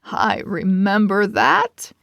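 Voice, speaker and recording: A woman's voice: mid-range voice, sing-song, slow pace, creaky, a bit bright, dry recording, heavily acted. A woman reading the part of a good-humored elderly husband in a storybook, fondly and emphatically sharing memories. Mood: amused, fond.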